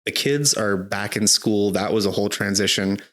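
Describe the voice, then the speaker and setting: A man in his thirties with a low voice chats casually as a podcast co-host. He rambles with plenty of fillers and restarts, and his throat still sounds a little rough. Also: moderate pace, a bit bright. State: slightly hoarse, recovering from illness. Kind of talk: casual chat.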